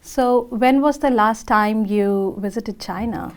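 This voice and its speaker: A woman's voice: a little high, takes it slowly. A woman hosting a TV interview show, asking a guest questions in a friendly, encouraging way. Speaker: TV show host.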